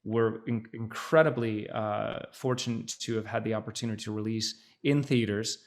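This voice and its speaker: A man's voice: fairly low pitch, steady tone, moderate pace. A man who is an actor, a guest chatting casually in an interview over a video call. He speaks thoughtfully, with many fillers and restarts. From Netherlands, by his mother.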